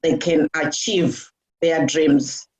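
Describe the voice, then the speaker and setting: A woman's voice: sits low, steady tone, slow pace, darkish tone. A middle-aged woman from Zimbabwe being interviewed, talking with many fillers and restarts. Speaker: guest. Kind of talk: casual interview talk. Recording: dull lo-fi audio.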